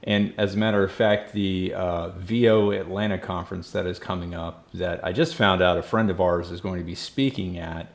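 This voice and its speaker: A man hosting a podcast, speaking informally with quick asides. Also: low voice, steady tone. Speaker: podcast host.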